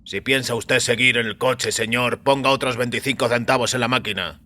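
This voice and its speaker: A man's voice: medium pitch, fairly even intonation, brisk, sharp tone, pitched above the performer's own voice, lightly acted. A man voicing a rude, impatient, curt male bus driver in an audio story. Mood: surly. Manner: curt, surly. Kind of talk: audio fiction character voice.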